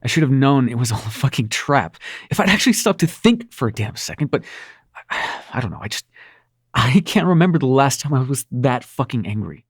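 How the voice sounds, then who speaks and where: A man's voice: mid-range voice, sing-song, breathy edge, no echo, studio quality. A man playing a brash, flustered prince of nightmares in love, stammering and swearing through an intimate audio roleplay. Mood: exasperated, self-blaming, then angry, gloating. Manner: halting, stammering, sweary and sardonic. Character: male supernatural prince of nightmares, brash, flustered, smitten lover.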